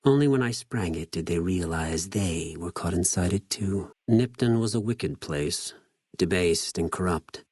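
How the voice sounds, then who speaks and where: An actor playing a cold, zealous, contemptuous legion spy in a video game, speaking formally with measured condescension. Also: male voice, low voice, a little mellow, husky, dry recording, studio quality. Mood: contemptuous menace. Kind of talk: scripted video game character dialogue.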